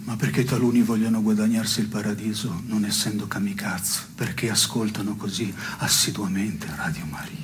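An actor playing a provocative, ironic man in an absurdist radio play, musing in a wry, deadpan manner. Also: fairly low pitch, normal speed, few pauses, a little hiss or hum, lightly acted. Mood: wry, provoking. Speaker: stage actor. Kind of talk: theatrical dialogue in a radio play.

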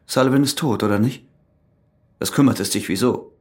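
An actor playing a cool, calculating ex-convict in a radio crime drama, speaking in a controlled, insistent, matter-of-fact way. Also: male voice, mid-range voice, a little fast, natural voice. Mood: pressing, threatening. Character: cool, calculating ex-convict who has killed.

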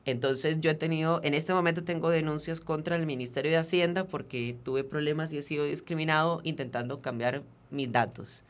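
A man's voice: on the high side, fairly even intonation, fairly quick, few pauses. Earnest Venezuelan man explaining at length. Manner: earnest, detailed, with frequent fillers and restarts. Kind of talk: radio interview, explanatory.

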